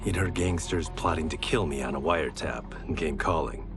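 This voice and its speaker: An actor playing a stoic, plain-spoken swordsman and reformed gangster in a video game, narrating in a measured, understated way. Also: a bass voice. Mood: composed, reflective. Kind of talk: scripted in-character narration. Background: loud music.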